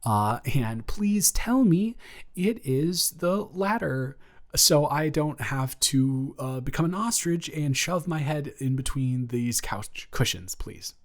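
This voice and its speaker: A man's voice: a little high, animated, slow. A man playing a nervous, lovestruck young man confessing to his best friend in an improvised romantic audio roleplay, stammering and rambling as he goes. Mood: flustered, awkward.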